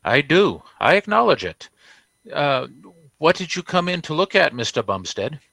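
Effusive, formal store boss, bright animated pomp, old-time radio comedy male actor. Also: a little high, normal speed, vocal fry, no echo, full character voice. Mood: gracious. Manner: pompous, emphatic.

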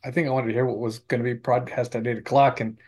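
A man chatting casually in a group, a little halting and repeating his phrases. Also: medium pitch, level tone, a little mellow, husky.

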